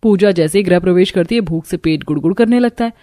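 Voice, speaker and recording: A woman's voice: lowish voice, quick pace, warm tone. A woman reading scripted narration as the storyteller of a cartoon tale.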